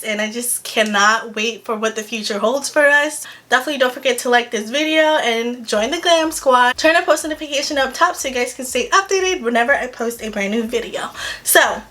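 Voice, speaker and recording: A young woman with a slightly raspy voice, chatting casually and gushing through a makeup review for her channel. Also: a little high, a bit bright. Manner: chatty and gushing, frequent "like" and "girl". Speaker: beauty vlogger.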